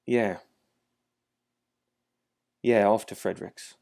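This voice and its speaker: A man's voice: lowish voice, steady tone, slow pace, creaky, darkish tone. A man playing a loyal, level-headed young knight and confidant in an audio drama. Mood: reassuring.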